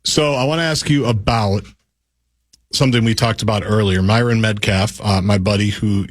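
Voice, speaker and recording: A man's voice: lowish voice, fairly even intonation, moderate pace. A male radio host in casual conversation, asking his guest questions with long, chatty setups.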